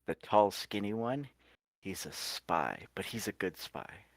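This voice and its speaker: A man plays a friendly sorcerer in a tabletop game with a low voice, recorded close and dry. He explains things gently and playfully, as if to a small child. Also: lively intonation, measured pace, no echo. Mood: reassuring, playful.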